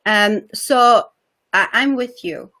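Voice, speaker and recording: Woman guest, slightly high voice, friendly agreement, casual chat. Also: pushed and bright. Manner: agreeable, quick to laugh, many short affirmations.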